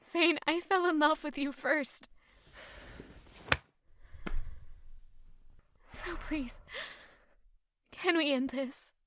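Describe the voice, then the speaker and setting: A yearning young lover, high and slow. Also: female voice, a little hiss or hum. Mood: pleading. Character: young woman in love, also narrator. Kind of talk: audio drama acting. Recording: loud music underneath.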